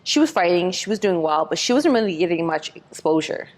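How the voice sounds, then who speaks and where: A young woman who grew up speaking Portuguese first, a professional boxer, telling her story in a relaxed interview, with lots of "like" fillers and acted-out quotes. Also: on the low side, a little fast. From Canada. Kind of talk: casual interview talk.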